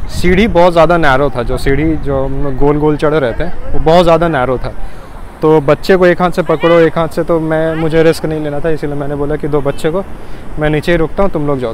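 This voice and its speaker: A man's voice: fairly high pitch, fairly even intonation, fairly quick, slight background noise, rough recording. A young man chatting casually to camera as a travel vlogger, teasing his family and dropping English phrases into his talk, filmed at arm's length on a lapel mic by the sea. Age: young adult. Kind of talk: casual vlog chat. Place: windy beach outdoors, then beach cafe. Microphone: lapel mic, selfie camera held at arm's length.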